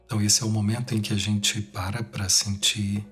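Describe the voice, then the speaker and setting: A Brazilian man leading a guided meditation, speaking in short, unhurried phrases with long pauses between them. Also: fairly low pitch, little pitch movement, a bit bright, slightly rough. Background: music.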